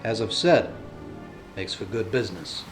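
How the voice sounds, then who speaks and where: A man's voice: fairly low pitch, lively intonation, moderate pace, lightly acted. A man playing a smug, commanding gangster boss in an audio drama, with an imperious, businesslike manner. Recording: music behind the voice.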